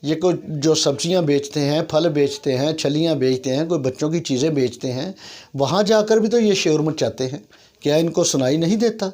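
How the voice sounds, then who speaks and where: Higher-voiced Pakistani man, earnest and emphatic. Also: moderate pace, a little mellow. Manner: earnest, complaining, emphatic with rhetorical questions and repetition. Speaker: YouTube commentator. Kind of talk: opinion monologue on civic issues.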